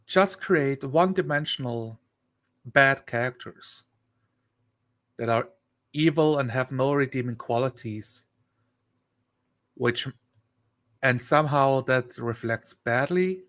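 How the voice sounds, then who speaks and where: A man chatting casually on a live stream, rambling and thinking aloud in a halting way. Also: medium pitch, fairly bright tone.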